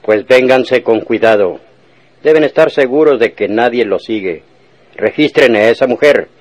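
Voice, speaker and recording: A man's voice: mid-range voice, normal speed, a little mellow, close to an everyday voice, poor audio. A man playing a suspicious, commanding crime boss in an old radionovela style, authoritative and questioning. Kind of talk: Spanish-language radionovela acting.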